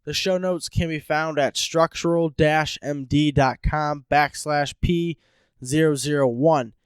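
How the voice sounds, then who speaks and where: A man's voice: a little high, fairly even intonation, measured pace, smooth, dry recording. A man hosting a solo podcast, stuffed up with a head cold, explaining things in an upbeat, informal way. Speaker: podcast host.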